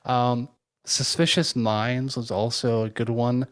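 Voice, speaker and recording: A man co-hosting a conversational interview, speaking in a halting, tentative way. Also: mid-range voice, level tone, clean tone. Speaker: podcast co-host interviewing a guest.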